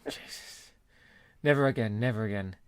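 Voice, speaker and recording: A man's voice: lowish voice, normal speed, smooth, no echo. A man hosting a casual podcast and trading jokes with his co-host. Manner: jokey, chatty, laughs easily. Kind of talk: casual chat.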